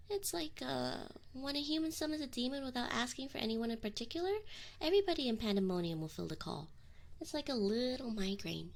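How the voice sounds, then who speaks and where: A woman's voice: fairly high pitch, gravelly, exaggerated voice. A woman voicing a teasing, flustered but affectionate succubus in an intimate ASMR roleplay, close to the microphone with whispered asides. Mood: casual, explaining. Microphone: close binaural microphone.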